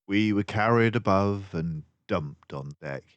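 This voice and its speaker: A man's voice: deep voice, animated, measured pace, dry recording, muffled recording. An older man reading a children's book aloud as a storyteller. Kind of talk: scripted narration of a children's book.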